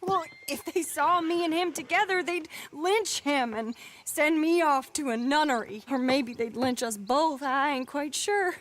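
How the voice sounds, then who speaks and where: An actress playing a passionate, sardonic young Southern belle with a genteel American South accent in a video game. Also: female voice, sits high, unhurried. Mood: urgent, sardonic. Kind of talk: video game cutscene acting.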